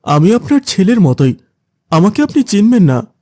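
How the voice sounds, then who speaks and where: An earnest village man, voiced by a male actor in a cartoon moral tale. His soft, mellow voice moves widely in pitch as he pleads with concern, recorded dry. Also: very animated, moderate pace, no room sound. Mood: concerned, pleading. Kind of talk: cartoon dialogue.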